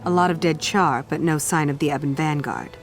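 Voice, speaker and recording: A woman playing a steady, earnest heroine and leader in a fantasy video game, speaking directly. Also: low voice, fairly quick. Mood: serious. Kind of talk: video game cutscene acting. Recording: voice over loud cinematic music.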